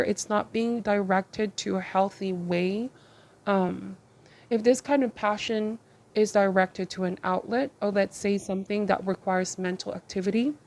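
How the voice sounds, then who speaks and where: A woman hosting a tarot reading, speaking to viewers in short measured phrases with a reflective, advising manner. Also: medium pitch, vocal fry, a little mellow. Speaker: tarot reader and channel host.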